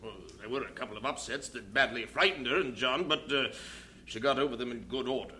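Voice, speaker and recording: A man's voice: mid-range voice, brisk, bright, forceful tone, close to an everyday voice. A man acting a fretful, doubting missionary superintendent in an audio drama, blunt, fussy and formal. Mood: worried, exasperated.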